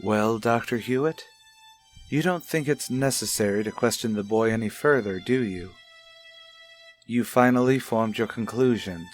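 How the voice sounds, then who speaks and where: An actor playing a gentle, probing child psychologist in a radio soap, speaking in a measured, soothing, tactful way. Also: male voice, on the low side, soft background music, lightly acted. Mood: pensive. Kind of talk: old radio soap opera acting.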